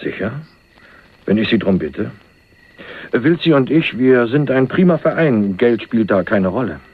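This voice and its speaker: An actor playing a confident, self-satisfied young schemer who talks casually and haltingly in a German radio crime drama. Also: male voice, mid-range voice, sing-song, slightly rough, heavily acted. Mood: breezy, confident. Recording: muffled, phone-like 1980s radio recording.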